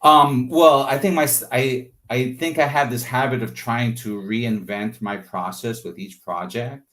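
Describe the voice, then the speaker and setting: A man's voice: mid-range voice, steady tone, measured pace, fairly bright tone. A man being interviewed as an artist, talking casually and thoughtfully about his creative process, often searching for words and peppering in "like" and "you know".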